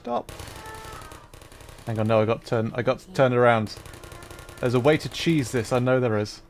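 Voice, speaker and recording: A man's voice: medium pitch, moderate pace. Man streaming game commentary over background noise. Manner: self-deprecating, playful, thinks aloud with repeated words. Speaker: livestreamer playing a puzzle game. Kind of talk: casual livestream gameplay commentary, chatting with viewers.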